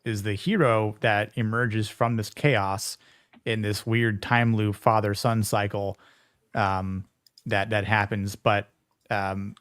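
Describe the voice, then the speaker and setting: A man's voice: fairly low pitch, flat delivery, takes it slowly, fairly bright tone, clean tone, no echo, studio quality. A man hosting a casual film discussion, rambling with many fillers and frequent laughs. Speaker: podcast host.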